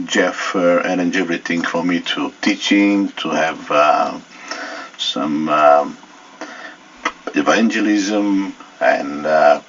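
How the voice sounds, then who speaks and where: A male pastor, a guest on a radio interview, speaking haltingly and searching for words in English. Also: deep voice, slight background noise. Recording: muffled, distant radio studio recording.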